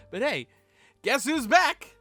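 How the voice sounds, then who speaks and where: A man co-hosting a fan breakdown, giving recap commentary in a playful, excitable and cheeky way. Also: very high-pitched, slow pace, faint music behind. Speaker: co-host of a fan breakdown show.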